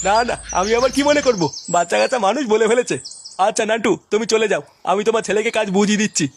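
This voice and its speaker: A man's voice: sits high, rapid-fire, a little hiss or hum. A man voicing an older, self-important workshop boss in a comic cartoon, commanding. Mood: genial. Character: demanding male workshop owner, older, bossy. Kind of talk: crude comic cartoon dialogue.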